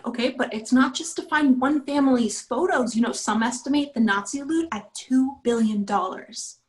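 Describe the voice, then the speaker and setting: A woman's voice: high voice, normal speed, lightly acted, poor audio. A woman playing a determined young heist leader in a screenplay table read, casual and earnest. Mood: earnest, resolute.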